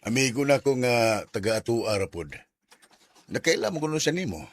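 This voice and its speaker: An actor playing a welcoming, easygoing middle-aged uncle in a radio drama, casual and avuncular, with a Visayan Filipino accent. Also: male voice, medium pitch, very animated, moderate pace. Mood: casual, helpful.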